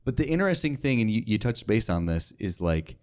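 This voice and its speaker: A man with a low-pitched voice and an American accent. He speaks casually, as an interviewer in an informal conversation. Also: big pitch swings, brisk, a little mellow, clean tone.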